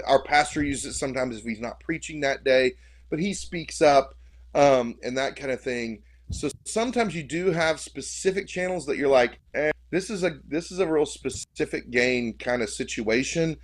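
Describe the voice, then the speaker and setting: A man with a big voice co-hosting a casual podcast chat about church media, explaining technical points straight to listeners. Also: medium pitch. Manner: instructive, addresses listeners directly.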